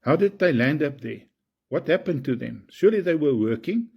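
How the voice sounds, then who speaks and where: A man from South Africa with an Afrikaans accent, speaking English as a second language in a halting, indignant monologue full of fillers. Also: fairly high pitch, lively intonation, creaky, husky, highs cut off. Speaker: video commentator. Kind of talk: casual monologue to camera.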